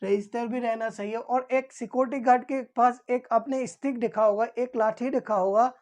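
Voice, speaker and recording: A woman's voice: fairly high pitch, steady tone, normal speed, darkish tone. A woman instructor giving an informal explanatory lesson, repeating key points and answering her own questions.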